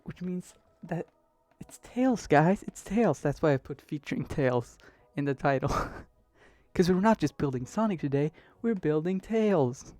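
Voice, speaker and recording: Hoarse young man chatting on stream. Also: fairly high pitch, animated, measured pace, darkish tone, dry recording. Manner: rambling, chatty, laughs easily. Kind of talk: casual live-stream chat. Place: home bedroom.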